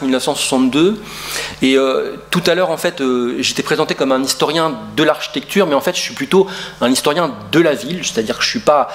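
A man's voice: fairly high pitch, slight background noise. Middle-aged male lecturer, hesitant and full of fillers, lecture room, distant camera. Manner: hesitant, many fillers and restarts. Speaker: urban historian lecturer. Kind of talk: academic lecture.

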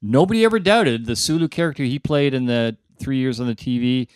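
A man's voice: mid-range voice, fairly quick, smooth, no echo. A man talking as a podcast panelist in a casual debate among friends, making his points in an emphatic, discursive way.

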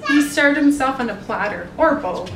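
A woman's voice: high voice, expressive, normal speed. A woman in her thirties reading a picture book aloud to children and commenting on it. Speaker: children's storybook reader. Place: indoor room with bare wall. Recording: echoey room, distant mic, some noise.

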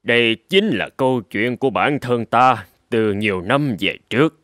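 A man voicing a stern, wise old sword master who lectures his students in a measured, fatherly way in a cartoon. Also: mid-range voice, animated, a bit bright, no room sound, studio quality, natural voice. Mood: calm storytelling. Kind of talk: scripted Vietnamese cartoon dub, telling a parable.

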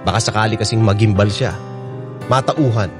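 A man's voice: on the low side, brisk, close to an everyday voice. A man with a Filipino accent playing a heartfelt storyteller, confiding to listeners as radio drama narration. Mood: reflective. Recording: guitar music under the voice.

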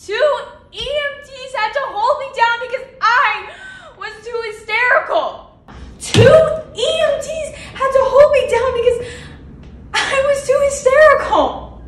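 A woman acting a dramatic monologue as a grief-stricken young nurse who blames herself, swinging between flat withdrawal and outbursts. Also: very high-pitched, slow, slight background noise. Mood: distraught. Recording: echoey room from a distance.

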